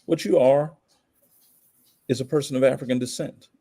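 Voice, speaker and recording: A man's voice: mid-range voice, steady tone, normal speed, mellow. An American man giving opinionated commentary in conversation as a podcast guest, emphatic and slangy, often posing rhetorical questions.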